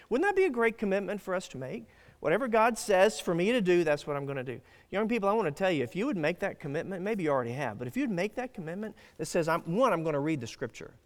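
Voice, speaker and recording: A man preaching a sermon as pastor, earnest and emphatic, often restarting his phrases. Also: a little high. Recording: long hall reverb.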